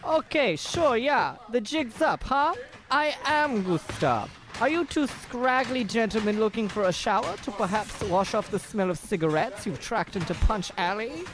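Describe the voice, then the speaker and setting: A young prizefighter in a comic radio play, pitched high and swinging widely from note to note. He is wordy, grandiose and guileless, forever getting the wrong end of things. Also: animated, unhurried. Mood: guarded, haughty. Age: young adult. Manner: theatrical, flowery, wordy. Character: naive, florid young Bavarian boxer, easily confused. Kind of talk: comic old-time radio play acting.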